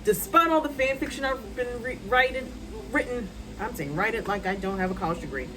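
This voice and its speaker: A woman in her thirties, a writer, chatting casually to her phone's front camera at home, loud-voiced and rambling with many ums and asides. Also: fairly high pitch, fairly quick, low-quality recording. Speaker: writer vlogger. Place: hot room at home with a fan.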